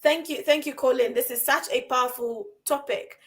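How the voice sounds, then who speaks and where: A woman's voice: sits high, normal speed. A woman coach speaking as a podcast guest, emphatic and repeating her key phrases for stress. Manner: frequent restarts and fillers. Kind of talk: casual conversation.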